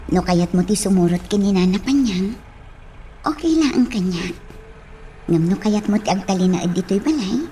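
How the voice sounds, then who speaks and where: A woman playing a gentle, caring young village woman in a radio drama, with an Ilocano accent. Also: mid-range voice, a little hiss or hum, lightly acted.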